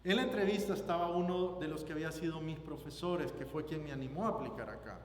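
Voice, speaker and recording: A man with a Guatemalan Spanish accent preaches a sermon, phrasing it deliberately and emphatically and repeating phrases for weight. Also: on the high side. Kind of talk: sermon with scripture reading and personal anecdote. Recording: roomy echoing hall, background noise.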